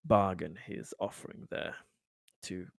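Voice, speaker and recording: Slow, low-voiced man playing a tabletop game. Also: steady tone, gravelly, no room sound, studio quality. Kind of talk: casual, improvised roleplay and game talk.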